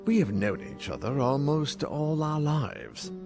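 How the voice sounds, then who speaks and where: A man voicing a formal noble lord of a frontier town in a video game, with a measured, probing manner. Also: a little high, big pitch swings, over music. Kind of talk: video game cutscene acting.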